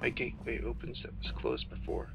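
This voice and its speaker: A man giving casual game playthrough commentary in a halting, musing way with drawn-out pauses, talking about screenwriting. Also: mid-range voice, very animated, vocal fry, a bit bright, slight background noise, low-quality recording. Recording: game music behind the voice. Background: video game music and sound.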